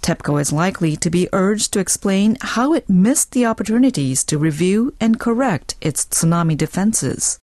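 A woman's voice: mid-range voice, lively intonation, normal speed, few pauses, darkish tone, no room sound, studio quality. A woman reading a scripted news report for radio.

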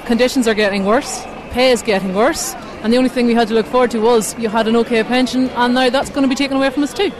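A woman's voice: a little high, fairly even intonation, quick pace, hardly any pauses. A woman, a teacher, speaking unscripted in an outdoor street interview. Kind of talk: unscripted vox-pop interview. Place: outdoors in a city. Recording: noisy outdoor crowd and street.

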